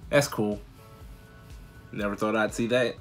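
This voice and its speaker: An American man around his thirties giving casual, slangy reaction commentary as a video host, expressive and full of exclamations. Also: on the low side, measured pace, faint music behind. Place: small bedroom indoors.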